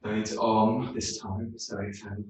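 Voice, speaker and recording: A man leading a guided meditation in a yoga class, speaking slowly and soothingly. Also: lowish voice, flat delivery, darkish tone, slight background noise. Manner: slow, soothing, unhurried. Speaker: yoga teacher. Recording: very echoey room, mic far off.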